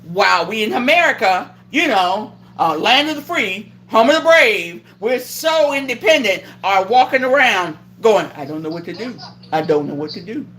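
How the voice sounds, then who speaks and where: A woman's voice: medium pitch, expressive, moderate pace, fairly bright tone, a little hiss or hum. A woman hosting a livestream, talking politics in a profane, indignant way and acting out the voices of people she quotes. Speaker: livestream host. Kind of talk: heated political chat on a livestream.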